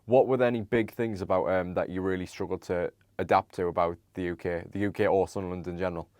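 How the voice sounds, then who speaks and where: A young man hosting a podcast, asking long, halting questions full of "um"s and restarts. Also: sits low, fairly even intonation, a little mellow, no room sound, clean studio sound. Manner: halting, many "um"s and stammered restarts. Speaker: podcast host. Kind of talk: casual interview conversation.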